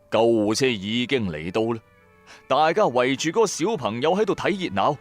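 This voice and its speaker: Animated Hong Kong Cantonese male storyteller. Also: medium pitch. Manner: expressive, chatty asides to the listener. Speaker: storyteller, narrator. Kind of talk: first-person dramatic audio storytelling, colloquial. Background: background music.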